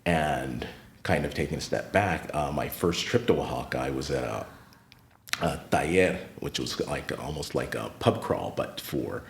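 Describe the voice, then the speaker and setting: A middle-aged man with an American accent speaks in an extremely deep register as a podcast guest. He reflects unhurriedly on his past and gets livelier when he retells run-ins with people. The sound is roomy and echoey. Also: lively intonation, normal speed, vocal fry. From United States. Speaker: podcast guest, retired teacher. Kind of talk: casual interview storytelling.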